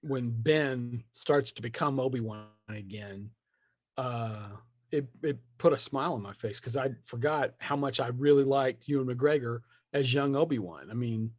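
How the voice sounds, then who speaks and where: A man's voice: medium pitch, normal speed, no echo, studio quality. A man in a casual podcast chat, opinionated and rambling as he thinks aloud. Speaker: podcast speaker discussing film franchises.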